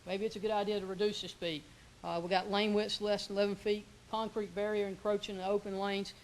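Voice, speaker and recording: A man's voice: high-pitched, little pitch movement, a little fast, a little hiss or hum, low-quality recording. A man giving an informal conference presentation, with many fillers and self-corrections. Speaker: presenter, transportation official.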